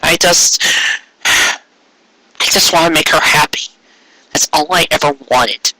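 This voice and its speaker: A man's voice: a little high, measured pace, bright, forceful tone, no room sound, pitched above the performer's own voice, exaggerated voice, rough recording. A man voicing a gangly, earnest stallion son-in-law, nervous but fiery, with a stammering, impassioned delivery in a fan fiction story. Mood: pleading. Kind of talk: amateur fan fiction audio reading.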